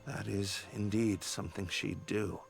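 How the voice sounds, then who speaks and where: A gravelly male guard captain from a fantasy game. He speaks tersely and soberly, a dutiful pessimist, with faint music behind. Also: fairly low pitch, level tone, a little slow. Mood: grieving, troubled. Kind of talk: video game voice acting.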